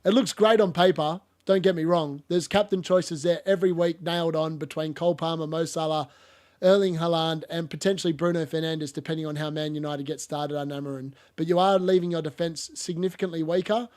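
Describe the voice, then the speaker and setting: A man's voice: a little high, fairly even intonation, fairly quick, darkish tone. A man hosting a casual football podcast, rambling and laughing often, still getting over a cough. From Australia. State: lingering cough. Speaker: podcast host.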